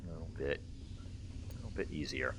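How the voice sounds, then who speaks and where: A man's voice: very deep voice, lively intonation, a little fast. A man hosting a let's play, casually commentating and explaining his tactics as he plays. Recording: clear game noise behind voice. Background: video game sound effects.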